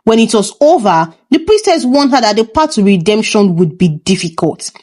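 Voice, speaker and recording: A woman's voice: mid-range voice, expressive, normal speed, warm tone. A woman reading a scripted folktale as a storyteller. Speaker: storyteller, narrator.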